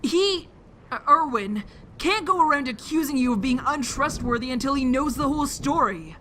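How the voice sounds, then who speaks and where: A woman's voice: high voice, expressive, moderate pace, fairly bright tone, slight background noise. A woman playing an earnest, trusting youngster in an audio drama, with a pleading manner. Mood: earnest defence.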